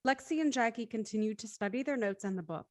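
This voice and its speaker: A woman's voice: a little high, fast, dry recording, clean studio sound. A woman narrating, reading scripted stage directions aloud.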